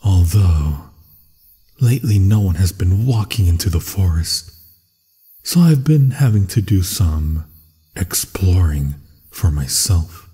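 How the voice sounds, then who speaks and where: Very slow, deep, hungry werewolf. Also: male voice, animated, dark tone, slightly rough, exaggerated voice. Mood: hungry, teasing. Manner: slow, savoring, seductive, breaking into whispers. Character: hungry, possessive male werewolf, menacing yet infatuated. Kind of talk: ASMR fantasy roleplay acting. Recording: long cavernous reverb.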